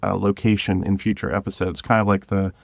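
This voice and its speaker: Slightly low-voiced man, dry close recording. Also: little pitch movement, fairly quick, vocal fry, no echo. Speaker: podcast guest, scriptwriter of an audio drama. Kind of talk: casual conversational explanation.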